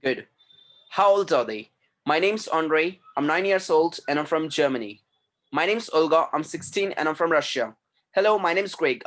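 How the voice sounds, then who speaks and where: A man's voice: fairly high pitch, a bit bright. Man teaching an online English class. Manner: repeats instructions, corrects pronunciation.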